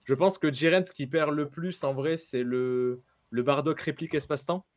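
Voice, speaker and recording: A man's voice: on the high side, fairly even intonation, moderate pace. A man on a card game panel, chatting casually with slangy, teasing asides. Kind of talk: casual strategy discussion.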